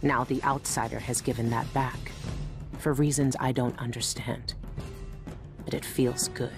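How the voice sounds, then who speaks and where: An actress playing a hardened, brooding former assassin in a video game, low-voiced, measured and terse, speaking with serious intent. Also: female voice, steady tone. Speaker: protagonist and narrator. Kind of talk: brooding scripted game narration and dialogue. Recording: music under the voice.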